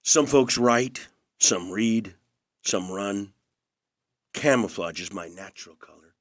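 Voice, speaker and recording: An actor playing an aging movie star in an audio drama, a gentle, wry and understated older brother. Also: male voice, lowish voice, expressive, takes it slowly, no echo, studio quality. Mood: wry, evasive.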